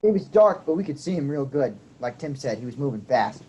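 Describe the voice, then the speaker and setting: A man's voice: mid-range voice, big pitch swings, a little fast, warm tone, slight background noise, natural voice. A man playing an obedient young farm boy in a staged reading, on a dull lo-fi recording. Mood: earnest. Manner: earnest, obedient. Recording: dull lo-fi sound.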